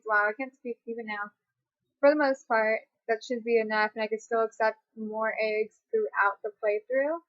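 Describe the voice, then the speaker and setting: A twenty-year-old woman chats her way through viewer questions from home, wandering through thoughts with lots of fillers and restarts. Her voice sits a little high. The webcam audio is dry and slightly muffled. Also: dry recording. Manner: rambling, chatty, many fillers and restarts. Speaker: YouTuber. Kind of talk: casual facecam Q&A. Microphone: webcam mic.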